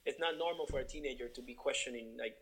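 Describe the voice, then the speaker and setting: A man's voice: mid-range voice, fast talker, a bit bright, low-quality recording. Earnest young man from Honduras. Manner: earnest, searching, many restarts and fillers. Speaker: guest. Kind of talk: reflective interview conversation.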